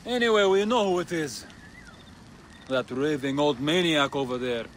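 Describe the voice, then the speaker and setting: Man playing a scornful, high-voiced villager. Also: lively intonation, normal speed, a little hiss or hum, close to an everyday voice. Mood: bitter, scornful. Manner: scornful, agitated. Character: embittered local villager, scornful and suspicious.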